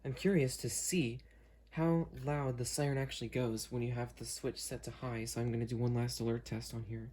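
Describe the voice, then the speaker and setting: A man's voice: mid-range voice, steady tone, normal speed, few pauses, a little mellow, a little hiss or hum. A man hosting an unboxing video, talking casually and hesitantly as he works through a product demo. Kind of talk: casual unscripted product demo with friends on a call.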